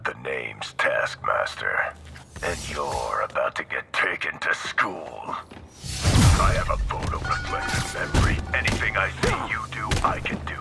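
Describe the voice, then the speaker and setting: A man's voice: rumbling low, lively intonation, unhurried, vocal fry, heavily acted. A man playing a cocky, menacing mercenary villain in a video game, taunting and boastful. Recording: loud game music behind. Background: loud music.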